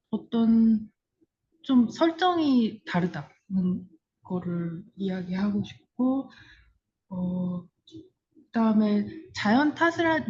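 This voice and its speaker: Female seminar participant, mellow dark tone, tentative and reflective as she reasons aloud, lo-fi narrow-band audio. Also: medium pitch. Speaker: discussion participant. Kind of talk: seminar discussion, thinking aloud. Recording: tinny, narrow phone-like line.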